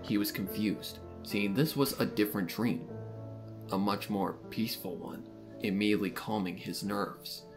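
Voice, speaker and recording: A man's voice: on the low side, normal speed, gravelly. A man reading fan fiction aloud as a dramatic, scripted story narration. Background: background music.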